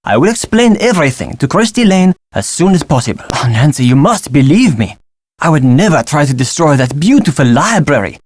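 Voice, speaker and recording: A man's voice: fairly high pitch, very animated, brisk, darkish tone, no echo, studio quality. Theatrical young Frenchman, swooping delivery. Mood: pleading.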